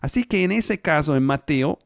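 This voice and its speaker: A man teaching a live online Bible study in Spanish, which is not his first language, explaining scripture step by step and pausing on fillers. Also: fairly high pitch, lively intonation, gravelly, no room sound, clean studio sound. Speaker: preacher.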